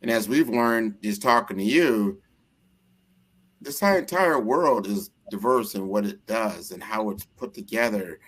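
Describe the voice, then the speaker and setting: A man's voice: fairly low pitch, a little slow, fairly bright tone, low-quality recording. An American man hosting a casual interview, talking earnestly and rambling with frequent restarts. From United States.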